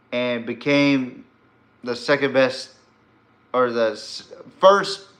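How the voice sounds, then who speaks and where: A man's voice: medium pitch, sharp tone. An American man hosting a podcast, giving casual, opinionated sports commentary in an informal way.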